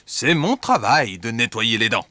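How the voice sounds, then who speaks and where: A man voicing a calm, gentle elephant dentist in a cartoon, speaking reassuringly. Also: medium pitch, unhurried, noisy background. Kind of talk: cartoon dubbing. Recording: loud music behind.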